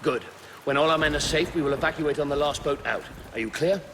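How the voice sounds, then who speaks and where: An actor playing a steady, duty-bound Roman commander, terse and authoritative, in a video game drama. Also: male voice, fairly high pitch, quick pace, noisy background, close to an everyday voice. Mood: brisk, grave.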